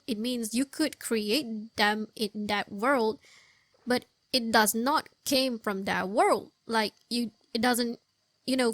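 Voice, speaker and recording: High-voiced woman chatting over gameplay, dry mic. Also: fairly bright tone, no room sound. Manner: halting, repeats phrases, chatty. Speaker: gaming video host. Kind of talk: casual game playthrough commentary. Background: faint game music.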